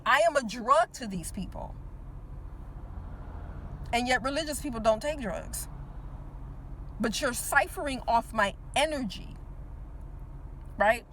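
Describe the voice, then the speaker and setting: A woman's voice: a little high, slow, long pauses, a bit bright, slight background noise, poor audio. A woman in her forties talking to the viewer in a casual motivational monologue, often checking in with "right?" and repeating words for emphasis. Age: 40s. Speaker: teacher and motivational speaker.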